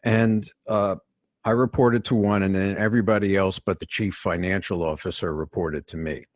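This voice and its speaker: Deep-voiced older New York man. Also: steady tone, no room sound. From New York City. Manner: measured, restarts phrases often. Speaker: guest, executive coach. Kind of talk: conversational interview storytelling.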